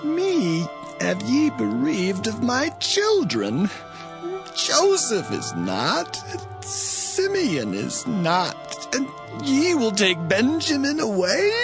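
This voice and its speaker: Casting: mournful elderly father for Bible audio drama; gravelly, very slow, swooping delivery. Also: very high voice, huge pitch swings, music in the background, heavily acted, rough recording. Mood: grieving, bitter. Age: older. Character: grieving old father, biblical patriarch of many sons.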